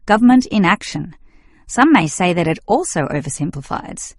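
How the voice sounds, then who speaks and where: A woman acting an agreeable, opinionated university student in a scripted exam dialogue, speaking in a measured, clear way. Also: medium pitch, dry recording, natural voice. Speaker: student in a listening test dialogue.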